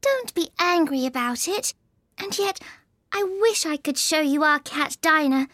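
A man's voice: very high voice, lively intonation, a little slow, fairly bright tone, dry recording, clean studio sound, voice pushed up, heavily acted. A man voicing a polite, eager young storybook girl who chatters in a gushing, apologetic way. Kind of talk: storybook character reading.

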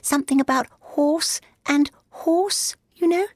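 A man's voice: very high-pitched, slow pace, no room sound, clean studio sound, voice pushed up, heavily acted. A man voicing a tiny, plaintive gnat in a storybook, with a very small, thin, sighing voice. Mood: teasing.

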